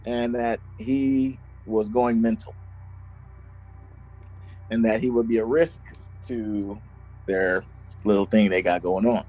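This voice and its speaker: A man's voice: mid-range voice, darkish tone, poor audio. A man co-hosting a podcast, telling a true crime story in casual chat and laughing often. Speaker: podcast co-host.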